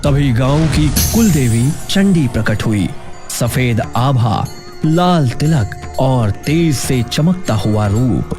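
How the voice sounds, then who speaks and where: A man's voice: medium pitch, lively intonation, slow. A man narrating a scripted children's story in a dramatic style. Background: loud background music.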